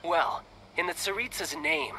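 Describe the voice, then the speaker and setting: A man's voice: high voice, measured pace, sharp tone, slight background noise, poor audio. A man voicing a cocky young warrior in a video game, with a pompous, theatrical delivery. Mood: smug. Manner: pompous, grandiose. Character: cocky young male debt-collecting warrior, smooth and theatrical. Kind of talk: video game cartoon voice acting.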